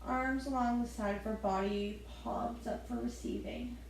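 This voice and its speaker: A woman leading a gentle yoga class, giving soft step-by-step breathing cues with the odd light laugh. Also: a little high, moderate pace, very echoey, a little hiss or hum, low-quality recording. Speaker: yoga instructor.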